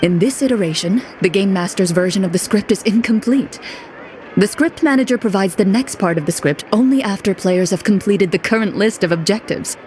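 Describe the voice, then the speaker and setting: A woman playing a composed young duelist and game master in a video game, speaking in a measured, polite and formal way. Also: medium pitch, darkish tone, slight background noise, natural voice. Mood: calm, polite. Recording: music playing behind the voice.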